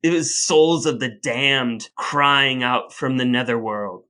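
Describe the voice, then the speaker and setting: Bright, forceful male podcast host. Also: medium pitch, slow pace. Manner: wry, playfully formal and wordy. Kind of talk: scripted podcast narration and banter.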